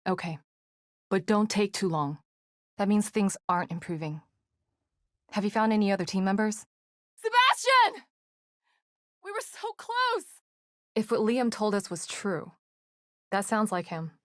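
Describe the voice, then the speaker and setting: A woman's voice: mid-range voice, very animated, no echo, clean studio sound. Composed, earnest woman psychologist in a game. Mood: shouting, panicked. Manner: measured, analytical, articulate. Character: composed, earnest female team psychologist under threat. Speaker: video game voice actor. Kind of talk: video game dialogue.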